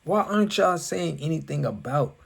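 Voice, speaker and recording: An American man hosting a reaction video, commenting in a deliberate, halting way and challenging with rhetorical questions. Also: fairly high pitch, lively intonation, vocal fry, slightly rough. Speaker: host of a reaction video channel.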